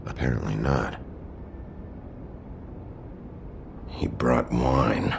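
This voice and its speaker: An actor playing a gruff, brooding male detective in a noir video game, with a gravelly voice and a terse, deadpan, authoritative delivery. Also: rumbling low, unhurried, background noise, heavily acted. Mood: dry, grim. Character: gruff, brooding wolf detective in human form.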